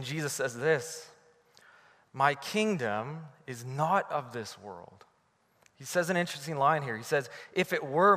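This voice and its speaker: A Canadian man preaching a sermon from the pulpit, telling a story in a lively, animated way. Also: medium pitch, in a large hall. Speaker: pastor.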